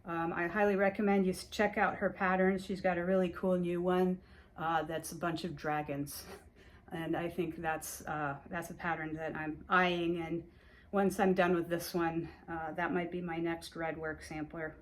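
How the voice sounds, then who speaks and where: Hungarian-born woman, casual vlog host, slightly low voice, rambling and chatty. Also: flat delivery, normal speed, a little hiss or hum. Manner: chatty, rambling with many fillers and restarts. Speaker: cross-stitch vlogger. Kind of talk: casual show-and-tell chat.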